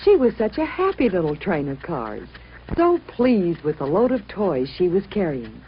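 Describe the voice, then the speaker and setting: A woman narrating a children's story from a script in a dramatic, expressive and emphatic way. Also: lowish voice, normal speed, a little hiss or hum. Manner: dramatic, emphatic, acts out sound words. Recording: dull lo-fi recording, music behind.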